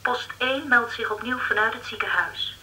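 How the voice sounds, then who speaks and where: An actress playing a brisk radio station secretary in a radio drama, announcing messages in a formal manner. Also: female voice, on the high side, pushed and bright, a little hiss or hum, rough recording. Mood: formal, urgent. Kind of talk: Dutch radio drama acting.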